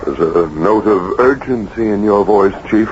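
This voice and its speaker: An actor in an old-time radio drama playing a troubled, fragile writer haunted by guilt, speaking in a measured, literary, brooding way. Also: male voice, on the low side, natural voice. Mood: guarded. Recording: dull, noisy vintage radio broadcast.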